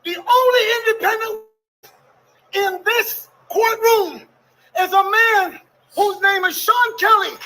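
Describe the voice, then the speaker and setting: An agitated, angry middle-aged man making an impassioned public denunciation, shouting in an indignant, preacher-like way. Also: very high voice, slow, low-quality recording. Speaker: defendant's father speaking out.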